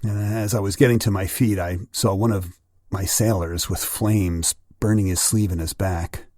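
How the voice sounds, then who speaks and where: An older American man playing a shaken Navy veteran in an acted interview, speaking in a halting, reflective way. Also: deep voice, steady tone, warm tone, no echo, clean studio sound, lightly acted. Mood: troubled, reflective. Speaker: voice actor in a training simulation. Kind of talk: acted clinical interview testimony.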